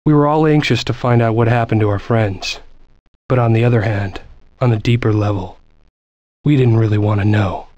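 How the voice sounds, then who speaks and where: A man's voice: mid-range voice, darkish tone, no room sound, natural voice. Man acting a weary soldier, retrospective war narration, game cutscene, dry, muffled lo-fi recording. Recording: dull lo-fi game audio.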